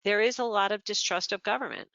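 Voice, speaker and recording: Presenter going thru slides one by one, smooth clear voice, bit muffled lo-fi webinar sound. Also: medium pitch, fast, dry recording. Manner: steady, explanatory, walks through each slide point by point. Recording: slightly dull lo-fi webinar audio.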